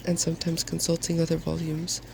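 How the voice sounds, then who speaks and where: Very creaky, soft-spoken male storyteller. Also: a little high, monotone, a little fast, slight background noise. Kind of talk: soft-spoken ASMR fairy tale reading.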